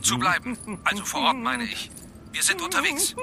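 An actor playing an excitable, clipped, blustering police squad commander in a children's radio play. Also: male voice, very high-pitched, normal speed, vocal fry, a crowd in the background, heavily acted. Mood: astonished.

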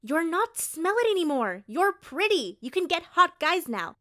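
A woman's voice: extremely high pitch, sing-song, normal speed, bright, forceful tone, heavily acted. A woman playing a brash, vain, bossy teenage sister, sassy and dismissive, in an audio drama. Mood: pushy, scornful.

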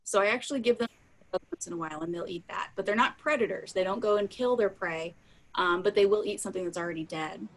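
A woman in her thirties speaks as a science educator at a middling pitch. She explains things plainly and informally, in words children can follow, into a computer mic in a room at home. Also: quick pace, rough recording. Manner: explanatory, plain-spoken, addressing children simply. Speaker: science educator presenting live animals. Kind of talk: informal live educational talk with audience questions. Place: small room at home, indoors. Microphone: computer mic on a video call.

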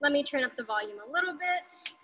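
A woman's voice: high voice, expressive, fast talker, background noise. A woman leading an online dance class over a video call, counting beats aloud and cheering everyone on. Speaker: dance instructor. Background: dance music.

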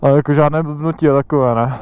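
A man's voice: a little high, fairly even intonation, clean tone, dry recording. A young man from the Czech Republic chatting casually while riding an electric motorbike, laughing often and swearing as he talks. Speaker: motovlogger. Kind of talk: casual helmet-cam motovlog chat. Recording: dull lo-fi sound.

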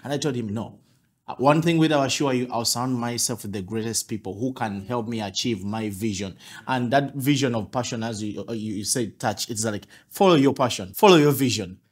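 A man's voice: mid-range voice, brisk, slightly rough. Earnest man repeating points for emphasis. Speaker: podcast host. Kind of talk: podcast conversation. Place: office meeting room.

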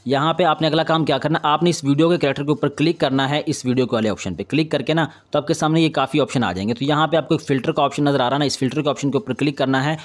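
A man's voice: on the high side, racing, hardly any pauses. Man giving an informal editing tutorial. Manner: repetitive confirming tags, instructional. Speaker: video editing tutorial host. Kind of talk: informal step-by-step screen tutorial.

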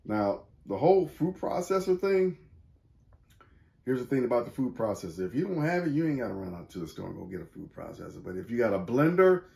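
A man's voice: medium pitch, very animated, a little fast, gravelly, darkish tone. A middle-aged man hosting a church cooking segment, chatting in a folksy, joking way with casual slang. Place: living room at home, indoors. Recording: roomy echo.

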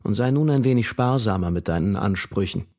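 A patronizing male poet-mentor in a radio feature. The voice is fairly low and husky. He reads his letter with grave, measured reproach. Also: lively intonation, a little mellow. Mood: stern, admonishing.

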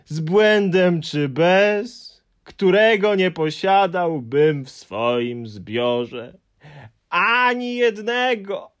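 A man voicing a gloomy, fussy male Hemulen who drags out his mournful complaints in an audiobook. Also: mid-range voice. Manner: mournful, sighing, drawn-out complaints. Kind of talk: audiobook character voice.

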